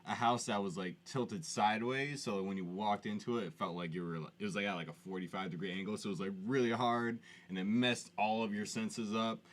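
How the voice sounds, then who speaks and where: A man's voice: fairly low pitch, steady tone, fairly quick, few pauses. A man co-hosting a podcast with casual, slangy, conversational talk. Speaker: podcast co-host. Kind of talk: casual chat.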